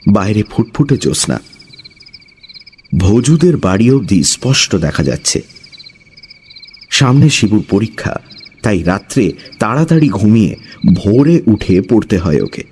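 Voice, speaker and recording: A man tells a scripted horror story in a dramatic style. His voice is fairly low and slow, with very lively intonation, over night insect ambience. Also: slight background noise. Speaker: storyteller, narrator.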